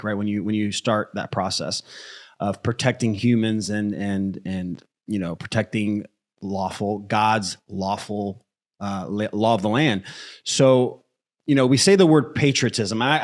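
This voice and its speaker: A man from Brazil who grew up in the United States, hosting a casual interview in a halting, circling way. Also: lowish voice. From born in Brazil, grew up in the United States from age eight. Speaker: podcast host.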